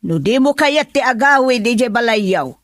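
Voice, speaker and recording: A woman's voice: on the high side, expressive, moderate pace, no room sound, clean studio sound, close to an everyday voice. A woman with an Ilocano accent playing a worried middle-aged mother in a radio drama, pleading and anxious. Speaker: mother character.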